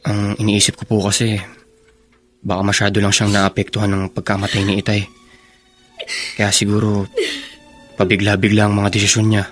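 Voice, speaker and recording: Man acting a low, even-voiced dutiful Filipino son. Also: moderate pace, pauses often, soft background music, natural voice. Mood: calm reasoning. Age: young adult. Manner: respectful, soothing. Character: earnest, dutiful grown son, calm and reassuring. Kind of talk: radio drama acting.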